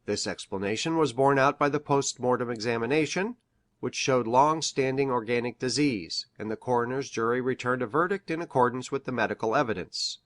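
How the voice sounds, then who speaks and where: A man playing an earnest country doctor in an audiobook, reading aloud in a formal, deliberate way. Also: mid-range voice, fairly bright tone, natural voice. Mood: formal, measured. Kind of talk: measured dramatic audiobook reading of formal prose.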